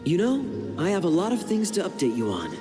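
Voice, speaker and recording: A very animated, higher-voiced knight. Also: male voice, a little fast. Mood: fond, wistful. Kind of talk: English video game voice acting. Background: prominent music.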